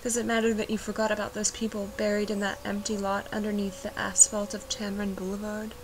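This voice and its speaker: A woman reciting a poetic spoken-word narration for a video art piece, measured and reflective. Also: medium pitch, monotone, rough recording. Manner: measured, reflective, unhurried delivery. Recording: voice-over with clear background noise.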